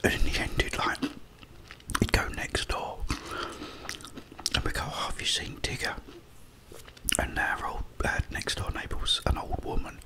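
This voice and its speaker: A middle-aged man tells personal stories in an ASMR style. He speaks in a hushed whisper, very slowly and haltingly, leaving pauses between short phrases. His delivery is unhurried throughout. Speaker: ASMR creator.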